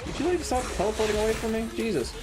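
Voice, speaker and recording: Casual live game streamer, lively intonation, playful mock-grumbling, music behind the voice. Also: mid-range voice, rapid-fire, rough recording. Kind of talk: casual live stream chat while gaming. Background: video game music.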